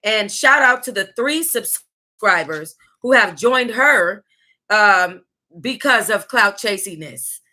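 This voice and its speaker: An American woman reading a memoir aloud as a book club host, with sassy, exclamatory asides and drawn-out emphasis. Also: mid-range voice, lively intonation, bright, forceful tone.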